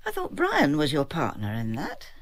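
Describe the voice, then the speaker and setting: A shrewd elderly village matriarch. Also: female voice, sits low, huge pitch swings, no echo, full character voice. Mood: probing. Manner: probing, measured, gently firm. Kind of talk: radio drama acting.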